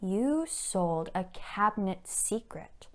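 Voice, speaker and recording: A woman's voice: medium pitch, lively intonation, takes it slowly. A woman playing a cunning, elegant society blackmailer in a period stage monologue, arch, mocking and theatrically emphatic. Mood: taunting menace.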